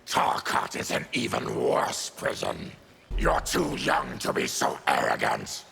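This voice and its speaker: Fierce game monster warrior: very gravelly, wildly swinging pitch, proud and bitter, formal speech. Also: male voice, wildly swooping pitch, slow pace, bright, forceful tone, full character voice. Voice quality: gravelly, growling. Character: fierce, embittered male monster warrior, proud former army tribune. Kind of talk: video game character dialogue.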